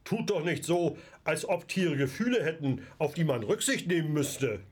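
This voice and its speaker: A man's voice: fairly high pitch, animated, normal speed, breathy edge. A male amateur playing a stubborn, skeptical grandfather in an audio drama. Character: skeptical old grandfather, stubborn meat-eater.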